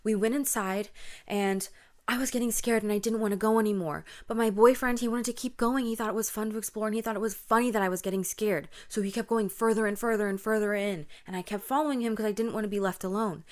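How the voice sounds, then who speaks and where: A woman's voice: mid-range voice, normal speed, a little mellow, close to an everyday voice. A woman voicing a panicked, pleading young woman on the phone to a friend in a scary story, with frantic, repetitive speech. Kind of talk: spoken scary-story dialogue.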